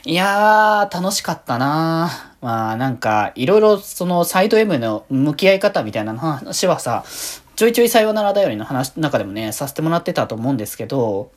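A woman's voice: low voice, very animated, normal speed. A woman hosting a solo podcast in a casual, rambling way, as if thinking aloud. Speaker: host of a solo fan podcast.

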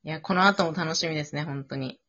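A woman's voice: sits low, fast talker, bright, forceful tone, husky. A woman chatting casually after an improv drama, switching between polite and informal speech. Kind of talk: casual post-show chat. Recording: dull lo-fi audio.

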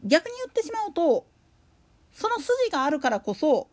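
A woman's voice: high voice, sing-song, normal speed, darkish tone. A woman giving casual solo commentary as a podcast host, rambling and opinionated, with emphatic repetition.

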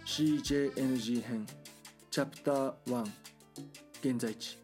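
A man narrates a scripted true-crime audio drama. His voice sits in a middle register and he speaks in a serious, measured, reporting manner. Soft music plays underneath. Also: medium pitch.

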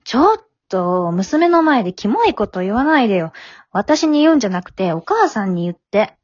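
A woman acting in an amateur radio drama as a frank, matter-of-fact teenage daughter who chides gently. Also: fairly high pitch, sing-song, moderate pace, slightly rough, dry recording, muffled recording. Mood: teasing. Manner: matter-of-fact, teasing, plain-spoken. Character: frank, forgiving teenage high-school daughter, gently chiding.

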